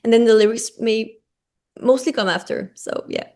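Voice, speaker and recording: Female singer-songwriter, French native tongue, slightly high voice, hesitant with fillers. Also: moderate pace, vocal fry, warm tone. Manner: hesitant, many ums and restarts, laughs often. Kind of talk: casual interview answers.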